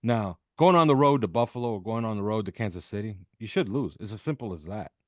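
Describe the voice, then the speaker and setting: A man's voice: fairly low pitch, lively intonation, fast talker, no room sound, clean studio sound. A man speaking as a guest analyst in a sports interview, making his points emphatically and repeating them for effect. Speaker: podcast host appearing as guest analyst. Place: video call with backdrop behind.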